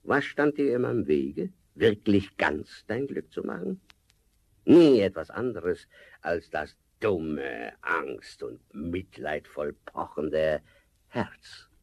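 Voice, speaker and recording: An actor playing a sly, domineering forest giant who slowly coaxes and sneers in a fairy-tale radio play. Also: male voice, low voice, sing-song, darkish tone, exaggerated voice. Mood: sly persuasion. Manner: slow, coaxing, sneering laughter.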